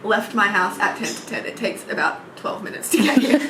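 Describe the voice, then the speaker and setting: A young adult woman with an Australian accent, giggly and animated, telling stories in casual chat with friends. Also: medium pitch, moderate pace. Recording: roomy echo with background noise.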